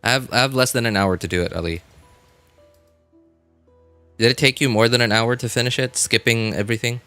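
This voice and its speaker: A man's voice: mid-range voice, fairly quick, bright, forceful tone, smooth, no room sound, soft background music. A man live-streaming a game with casual commentary, chatting to viewers in a rambling way, often repeating and rephrasing himself.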